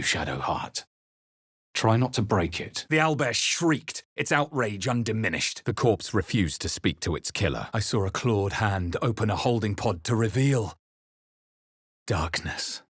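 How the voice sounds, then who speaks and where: Deep, husky, brooding fantasy game hero. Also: male voice, no echo, clean studio sound, heavily acted. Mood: wary, dry. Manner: measured, dramatic, solemn delivery. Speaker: video game voice actor. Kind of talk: scripted fantasy game narration, character lines and spell incantations.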